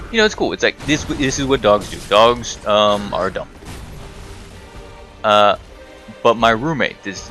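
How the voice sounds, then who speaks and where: A man's voice: on the low side, pushed and bright. A man giving casual storytime commentary while playing a game, rambling, correcting himself and muttering swears at the game. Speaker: gaming video host telling stories while playing.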